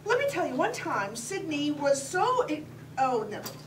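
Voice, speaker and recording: A woman's voice: high-pitched, big pitch swings, normal speed, slight background noise. An actress playing a fussy, tearful, sentimental woman who talks in dramatic, weepy sighs. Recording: roomy stage sound, distant mic, audience laughter.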